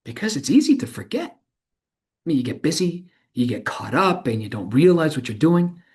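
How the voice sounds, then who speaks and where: A man performing a dramatized monologue as an earnest, reflective middle-aged former tech entrepreneur, halting and searching as he speaks. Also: on the high side, big pitch swings, a little mellow. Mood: uneasy, candid. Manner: halting, searching, self-correcting delivery with emphatic swells. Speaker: stage performer of a true-story monologue. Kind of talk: dramatized monologue in conversational interview manner.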